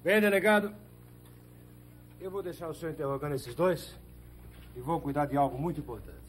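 A man's voice: on the high side, fairly quick, natural voice. A man in his thirties acting a confident, courteous backlands hero in a Brazilian telenovela. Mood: genial. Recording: old TV soundtrack with faint hum.

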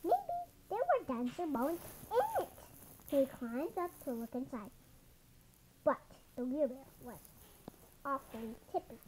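A storybook reader with a very high voice reads a children's picture book aloud. The delivery swings widely in pitch and is expressive, with occasional stumbles. The recording has some background noise. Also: measured pace, pauses often, low-quality recording. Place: indoors at a wooden table. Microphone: camera over the book.

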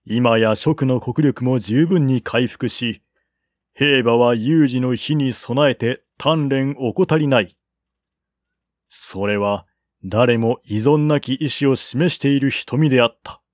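Male narrator for literary historical fiction: unhurried, formal, mid-pitched, close dry recording. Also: dry recording. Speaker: audiobook narrator.

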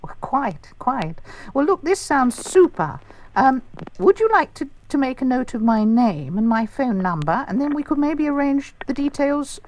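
A man's voice: extremely high pitch, big pitch swings, measured pace, voice pushed up, exaggerated voice. A man voicing a prim, genteel middle-aged chairwoman on a prank phone call, polite and hesitant. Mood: coy, flustered.

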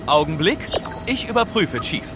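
An actor playing a brisk, obedient police team officer in a children's audio drama. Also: male voice, fairly high pitch, very animated, over loud music. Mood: businesslike.

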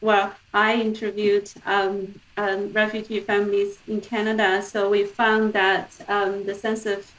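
A woman's voice: mid-range voice, little pitch movement, measured pace, few pauses, fairly bright tone. A woman in her forties, an academic guest, explaining research conversationally on a video call from home. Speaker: guest professor. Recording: slightly distant video-call microphone.

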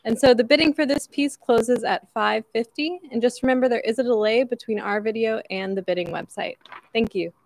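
A young woman presenting at a benefit auction, reading item descriptions from her notes in a measured announcing manner on an outdoor porch stage into a stand microphone. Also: fairly high pitch, vocal fry, a little mellow, dry recording, poor audio.

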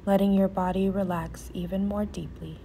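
A woman leading a guided meditation in a soft, soothing, often near-whispered voice with pauses. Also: medium pitch, level tone, gravelly, background noise.

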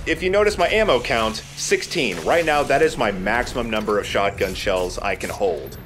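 A man's voice: mid-range voice, animated, normal speed, fairly bright tone. A man giving casual game commentary as a let's play commentator. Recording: game noise behind voice. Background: game ambience.

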